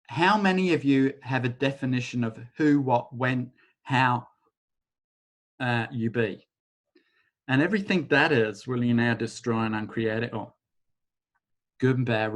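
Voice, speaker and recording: A man leading a live online workshop over a video call, talking in a casual, rambling way and softly chanting a repeated phrase. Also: mid-range voice. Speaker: workshop facilitator.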